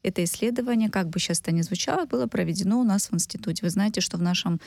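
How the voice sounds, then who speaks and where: A woman giving expert interview answers as a medical researcher, speaking emphatically in technical terms with pauses between clauses. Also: mid-range voice, vocal fry, a little mellow, no room sound, clean studio sound.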